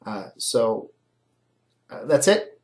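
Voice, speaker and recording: A male lecturer with a slightly stuffy nose walks students through ideas in a relaxed, explanatory way. His speaking voice sits at an ordinary middle pitch, and he checks in with the class as he talks. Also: slow pace. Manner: casual and explanatory, with frequent "um" and "right?". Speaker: philosophy lecturer. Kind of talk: recorded online lecture.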